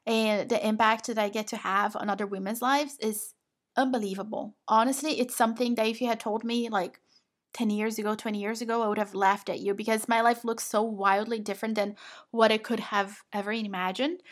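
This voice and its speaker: A woman's voice: on the high side, steady tone, fairly quick. Upbeat Brazilian-accented woman podcast host. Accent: Brazilian Portuguese. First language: Portuguese. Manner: encouraging, affectionate, addresses listener as "my love". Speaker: podcast host and women's business coach. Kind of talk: motivational podcast monologue.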